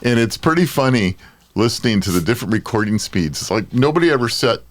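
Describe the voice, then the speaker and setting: A man's voice: medium pitch, lively intonation, fast talker, no echo. An older man co-hosting a podcast, chatting casually and telling anecdotes. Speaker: podcast co-host.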